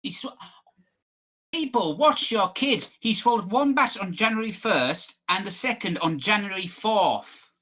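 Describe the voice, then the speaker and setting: A man reads news stories aloud on a casual panel show. His voice is bright and forceful with lively pitch, and he breaks off for exasperated comments. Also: measured pace. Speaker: podcast panelist.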